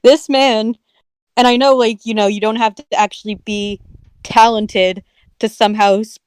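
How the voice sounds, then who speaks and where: Smooth-voiced chatty woman co-host. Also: a little high, fairly even intonation, moderate pace, no room sound. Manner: chatty, earnest, with frequent filler words. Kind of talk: casual chat on a stream.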